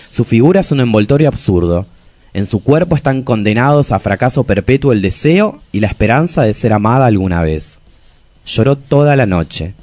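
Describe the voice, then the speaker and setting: Deep-voiced, lovelorn Argentine diarist played by a man. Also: a little mellow, dry recording, close to an everyday voice. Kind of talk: literary monologue in a radio play.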